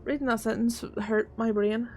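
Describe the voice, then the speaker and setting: Rural Northern Irish woman streamer, higher voice. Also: steady tone, moderate pace, darkish tone. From Northern Ireland. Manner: chatty, swears freely, laughs often while reading chat. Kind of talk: casual gaming livestream chat.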